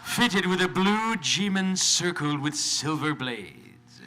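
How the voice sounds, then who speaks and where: A man's voice: on the high side, lively intonation, takes it slowly. A man narrating stage directions dramatically at a live convention reading, with playful asides. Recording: live convention stage, clear background noise.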